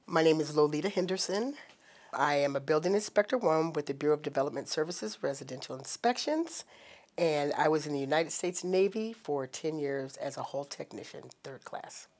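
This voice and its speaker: A woman with a low voice, raised in Portland, talks about her Navy years in an interview. Her delivery is matter-of-fact with a good-humored edge. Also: expressive, normal speed, a little mellow. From Portland, Oregon. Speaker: building inspector and Navy veteran. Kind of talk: recorded interview testimony.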